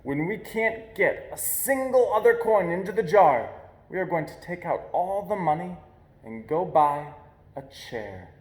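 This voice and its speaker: Male storybook reader, fairly high voice, expressive read-aloud with deliberate pauses, echoey room. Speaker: reader of a children's picture book. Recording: echoey, roomy sound.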